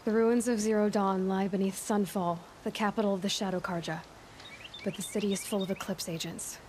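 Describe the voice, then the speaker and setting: A woman's voice: medium pitch, steady tone, brisk, background noise, close to an everyday voice. A woman playing a headstrong young warrior scout in a video game, sarcastic, blunt and impatient, in a naturalistic delivery. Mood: determined. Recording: loud music underneath. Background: loud game music.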